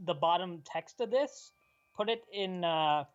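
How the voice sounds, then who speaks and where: A woman's voice: fairly low pitch, animated, unhurried, highs cut off. A woman co-hosting a livestream in casual chat. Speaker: livestream co-host.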